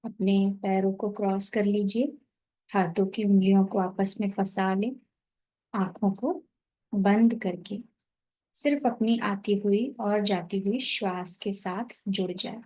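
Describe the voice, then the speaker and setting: A woman guides a meditation in a medium-pitched voice. She speaks very slowly, setting out each instruction in short, separated phrases. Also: fairly even intonation, warm tone.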